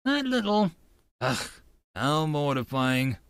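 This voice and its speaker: A man's voice: on the high side, sing-song, slow pace, fairly bright tone, clear, resonant tone, no room sound, studio quality, heavily acted. A man playing a proud, skeptical young man who is easily flustered, telling his own story in an audiobook. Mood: grudging, mortified.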